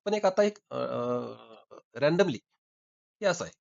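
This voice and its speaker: A man's voice: mid-range voice, lively intonation, slow, vocal fry. A man arguing insistently in an informal phone debate, often repeating himself. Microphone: recorded phone call. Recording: dull lo-fi call recording.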